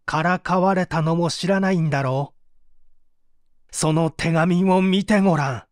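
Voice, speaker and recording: A man's voice: a little high, measured pace, dry recording, natural voice. Guy doing a nasty jealous woman, jeering and coarse, dry close sound, audio drama. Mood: jeering, spiteful. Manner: mocking, rough slangy speech. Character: spiteful, jealous, coarse-tongued woman taunting her lover.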